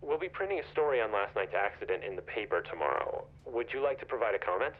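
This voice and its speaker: An actor playing a polite, probing newspaper journalist in a video game, professional in manner, heard over a phone line. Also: male voice, on the low side, expressive, quick pace. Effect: phone call filter. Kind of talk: naturalistic video game acting. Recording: tinny, narrow phone line.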